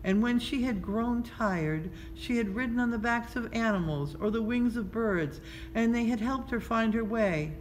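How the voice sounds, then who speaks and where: A woman's voice: a little high, normal speed. Woman reading a children's story, noisy background. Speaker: story reader. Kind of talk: expressive children's storybook narration. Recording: clearly noisy background ambience.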